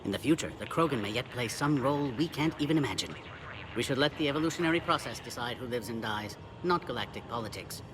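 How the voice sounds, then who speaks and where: A courteous, philosophical alien officer, acted by a man in a video game. His formal speech is fast and lively in pitch, with clear ambient noise behind it. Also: lightly acted. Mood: thoughtful. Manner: formal, quick, articulate. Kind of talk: video game cutscene acting.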